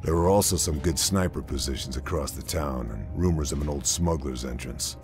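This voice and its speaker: A man playing a grim, calculating lone army sniper who narrates his mission in a measured, restrained way. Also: rumbling low. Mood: grim, focused. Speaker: protagonist narrator. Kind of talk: scripted mission briefing narration. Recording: music behind the voice.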